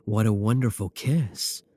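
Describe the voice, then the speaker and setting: A man playing a possessive werewolf professor in an intimate ASMR roleplay, speaking deliberately with coolly affectionate menace. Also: lowish voice, slightly rough, full character voice. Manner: slow, deliberate, soft chuckles, pet names. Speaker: voice actor in a roleplay audio.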